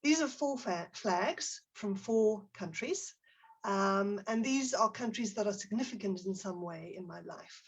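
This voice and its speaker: A woman's voice: medium pitch, animated, a little slow, breathy edge. A woman with a South African accent presents a live online teaching webinar, prompting her audience and reading out their chat replies in a friendly way. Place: home office near Barcelona.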